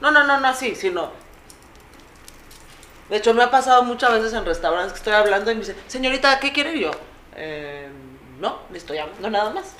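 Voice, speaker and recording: Playful Mexican woman co-host, bright voice. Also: fairly high pitch, expressive, a little fast. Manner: playful, switches languages and accents. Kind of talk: casual comedic chat. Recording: echoey room with faint noise.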